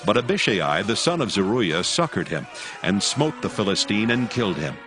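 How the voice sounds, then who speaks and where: A man narrating scripture from a script in a measured, solemn manner. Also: lowish voice, big pitch swings. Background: background music.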